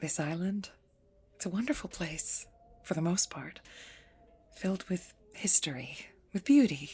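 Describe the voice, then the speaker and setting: Low, hushed knowing landlady. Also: expressive, a little slow, a little hiss or hum. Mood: hushed, ominous. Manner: hushed, earnest, ominous. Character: grave, knowing island guesthouse landlady, warning of evil. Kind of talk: audio drama acting.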